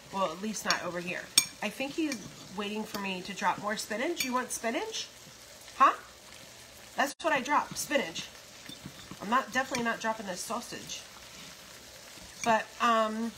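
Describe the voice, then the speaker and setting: A middle-aged American woman chatting casually and rambling a little as she cooks for an online audience in her home kitchen. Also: fairly high pitch. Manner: unhurried, rambling asides while busy cooking. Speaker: home cook and online host. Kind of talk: casual cooking livestream chat. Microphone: phone propped nearby. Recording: noisy kitchen, sizzling pan. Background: frying sizzle and stirring.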